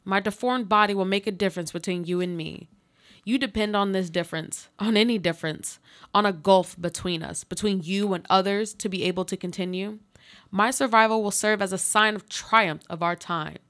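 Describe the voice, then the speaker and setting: A woman's voice: medium pitch, a bit bright, lightly acted. Woman acting as solemn, resilient dying woman. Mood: solemn, defiant. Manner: solemn, deliberate, poetic. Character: frail dying woman, resilient, addressing her other self. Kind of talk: live radio play monologue.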